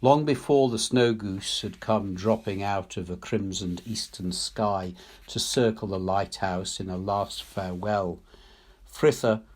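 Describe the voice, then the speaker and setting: Male, storybook narration, very slow pace, lowish pitch, solemn measured phrasing. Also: a little mellow. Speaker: story reader, teacher. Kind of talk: literary storybook narration read aloud.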